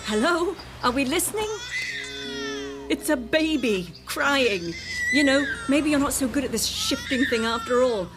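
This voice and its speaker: An actress playing a sardonic, demanding, discontented wife in a radio drama, with a needling manner. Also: female voice, sits high, animated, unhurried, noisy background. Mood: sarcastic, urgent. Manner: sarcastic, needling.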